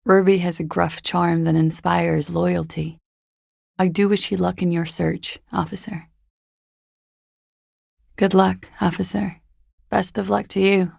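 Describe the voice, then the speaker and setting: Casting: a secretive young female disco dancer in a game, coy and understated, very gravelly fry, close dry sound. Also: on the low side, darkish tone, no room sound, full character voice. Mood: coolly evasive. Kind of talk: video game voice acting.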